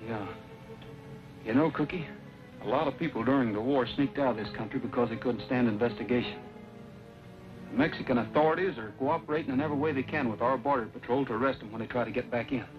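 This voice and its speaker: A man acting as a calm, plain-spoken cowboy hero who takes charge in an old Western drama. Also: medium pitch, fast talker, over music, natural voice. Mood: serious. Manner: plain-spoken, steady, reassuring. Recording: dull, noisy old radio recording.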